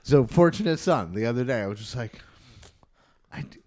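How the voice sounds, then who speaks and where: A man chatting casually as a podcast host, in a rambling, halting, sweary way. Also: medium pitch, animated, a little mellow. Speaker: podcast host and band bass player. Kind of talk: casual chat.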